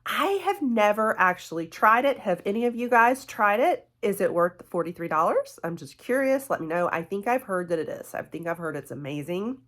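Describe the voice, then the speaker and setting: A woman's voice: mid-range voice, animated, a little fast, few pauses, fairly bright tone. A woman, stuffy from a cold, chatting in a friendly way with her viewers as a beauty vlogger. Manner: friendly, chatty, fillers and laughs, addresses viewers as guys and y'all. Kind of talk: casual chatty product review.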